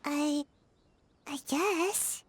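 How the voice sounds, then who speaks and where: A woman's voice: high voice, drawn-out words, slight background noise. An actress voicing a sleepy, grumbling young ninja girl in a video game, with a reluctant, hesitant delivery. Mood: reluctant, weary. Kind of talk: English game voice acting.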